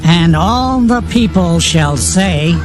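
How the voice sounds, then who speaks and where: A man's voice: high-pitched, animated, slow. A man narrating dramatized scripture in a solemn, declamatory way, with music behind.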